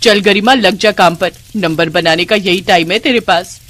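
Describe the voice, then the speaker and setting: A woman's voice: on the low side, normal speed, fairly bright tone, slight background noise, lightly acted. A woman voicing a vain, mocking young elder sister in a moral story, taunting and boastful. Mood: scheming. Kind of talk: Hindi moral story character acting.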